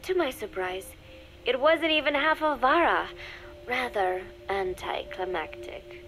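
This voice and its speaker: A woman voicing a young undercover spy in a video game, dictating a private diary in a measured, confiding, dryly scornful way. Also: fairly high pitch, lively intonation, fairly bright tone, faint music behind. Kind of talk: scripted dramatic diary narration for a game.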